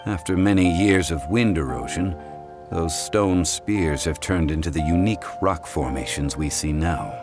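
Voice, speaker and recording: Deep composed scholar type, formal lecturing manner, game music behind. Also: male voice, moderate pace. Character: calm, learned male consultant, formal and measured.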